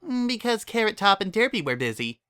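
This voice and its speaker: A man's voice: high-pitched, very animated, a little fast, pushed and bright, smooth, no echo, studio quality, voice pushed up, full character voice. A man voicing a bubbly, hyperactive young female cartoon pony party planner, gushing and zealous as she chatters. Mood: insistent.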